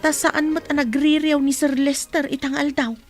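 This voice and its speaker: An actress playing a blunt, gossipy female household helper in a radio drama, talking in an animated way. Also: high voice, normal speed, over music, close to an everyday voice. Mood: scolding, skeptical. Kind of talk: Ilocano radio drama acting.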